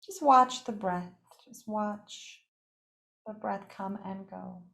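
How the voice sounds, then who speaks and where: A woman's voice: mid-range voice, vocal fry. Echoey woman guiding a meditation. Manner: unhurried, gently inviting, with pauses between short phrases. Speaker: yoga nidra meditation teacher. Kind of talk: guided meditation. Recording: echoey, roomy sound.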